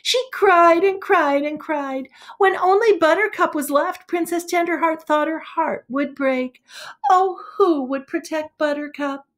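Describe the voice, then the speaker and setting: A woman voicing a prim young girl telling a sentimental fairy tale, expressive and easily indignant, in a storybook read-aloud. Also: extremely high pitch, unhurried. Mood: sad, dramatic.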